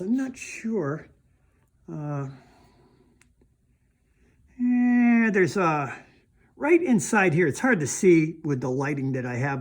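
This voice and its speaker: A man's voice: on the high side, breathy edge. A man giving a casual, plainspoken repair demonstration, thinking aloud informally as he handles parts. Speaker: DIY car repair demonstrator.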